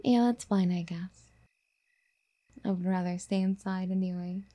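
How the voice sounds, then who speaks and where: A woman playing a possessive, doting yandere girlfriend in a soft, intimate ASMR roleplay, speaking in a coaxing, whispery, sweetly affectionate way. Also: fairly low pitch, fairly even intonation, a little slow, lightly acted.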